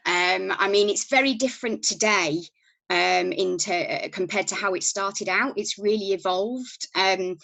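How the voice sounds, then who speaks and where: A woman's voice: medium pitch, fairly bright tone, dry recording, poor audio. A woman from Yorkshire with a Yorkshire accent, a podcast guest talking casually, with plenty of ums and restarts. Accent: Yorkshire English. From Yorkshire, England. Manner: chatty, many ums and restarts. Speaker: podcast guest, company founder. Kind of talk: casual interview talk.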